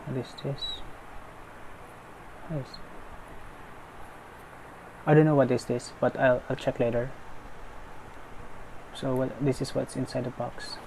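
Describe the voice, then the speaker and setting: Male unboxing narrator, mid-pitched, unhurried, casual and tentative; noisy recording. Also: flat delivery. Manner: tentative, thinking aloud. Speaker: unboxing video maker. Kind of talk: casual unscripted product unboxing commentary. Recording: clearly noisy background.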